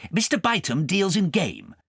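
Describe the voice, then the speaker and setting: A man's voice: high voice, huge pitch swings, normal speed, creaky, a bit bright, breathy edge, no echo, studio quality, pitched above the performer's own voice, heavily acted. A man voicing a friendly, knowing witch's cat in a storybook, chatty and matter-of-fact. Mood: brisk, friendly.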